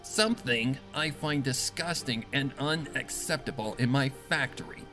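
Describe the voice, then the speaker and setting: A man voicing a calm, commanding female pegasus factory boss in a dark story, speaking formally and with measured authority. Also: medium pitch, over music, pitched above the performer's own voice, close to an everyday voice, low-quality recording. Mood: calm, authoritative. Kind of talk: dramatic fan fiction reading.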